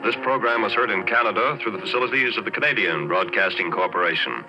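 Lowish American network announcer over music. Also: male voice, quick pace. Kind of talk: formal 1940s radio announcing. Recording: dull old radio recording. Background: loud music.

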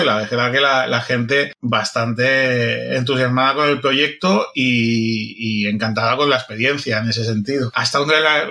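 A man's voice: mid-range voice, pushed and bright. A man with a Spanish accent from Spain casually recounts an event, explaining as he goes and often repeating himself. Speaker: podcast guest, event organizer.

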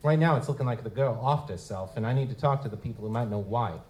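Streetwise goblin detective, male actor, wry and slangy, relaxed dark tone, noir audio drama, slightly noisy recording. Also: medium pitch, fast talker, natural voice. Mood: brisk, businesslike. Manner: casual, slangy noir sleuth. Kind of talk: live audio drama dialogue and first-person narration. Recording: noticeable background noise.

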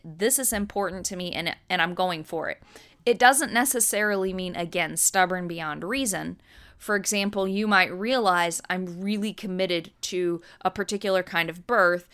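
A woman's voice: fairly low pitch, fairly bright tone, clear, resonant tone. A middle-aged American woman hosting a podcast, explaining in an earnest teacher's manner and repeating words for emphasis. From United States. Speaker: podcast host and birth educator. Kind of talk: teaching monologue.

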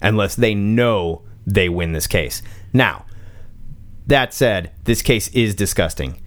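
A man's voice: fairly low pitch, takes it slowly. A man co-hosting a comics podcast, chatting casually in a sarcastic, joking way. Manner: animated, sarcastic, jokey. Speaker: podcast co-host. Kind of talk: casual chat.

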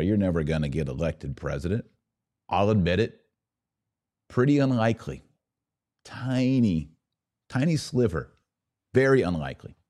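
A man's voice: fairly low pitch, dark tone. A man hosting a podcast, delivering an impassioned political monologue in an emphatic, rhetorical way with repeated phrases for stress. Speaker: podcast host and political commentator.

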